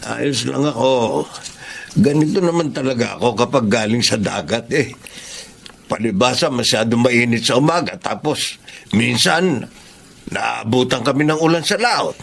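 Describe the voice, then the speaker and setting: Gravelly, hardworking Filipino father. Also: mid-range voice, expressive, a little slow, a bit bright, heavily acted. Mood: resigned, concerned. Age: middle-aged. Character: hardworking, caring father, a fisherman and farm hand. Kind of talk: Filipino radio drama acting. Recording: faint background noise.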